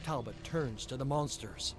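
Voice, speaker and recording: A man's voice: mid-range voice, moderate pace. A man narrating a horror game story in a grim, scripted style.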